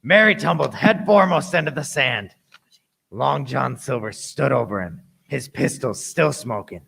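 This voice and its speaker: A man's voice: mid-range voice, expressive, normal speed, vocal fry, sharp tone, slightly rough, no echo, full character voice. A man playing an earnest adventurer who narrates his tale in a radio play with dramatic storytelling. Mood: dramatic, tense. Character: earnest grown Jim Hawkins recalling his boyhood adventure.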